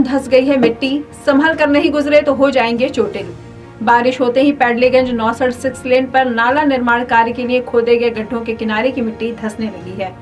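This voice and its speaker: A woman's voice: high voice, recorded from a distance. A woman presenting a scripted radio news bulletin.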